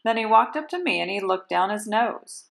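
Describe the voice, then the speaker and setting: Female storybook narrator, teacherly and expressive: strong creak at a medium pitch, roomy sound with music behind. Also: moderate pace, background noise. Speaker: kindergarten teacher reading aloud.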